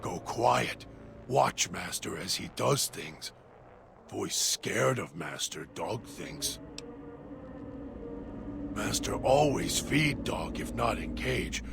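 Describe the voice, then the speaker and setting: A man doing a gravelly deranged dog-creature. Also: lowish voice, expressive, noisy background, heavily acted. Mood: eerie. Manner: broken third-person speech, halting. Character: deranged feral dog-creature, male, hungry. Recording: music bed underneath.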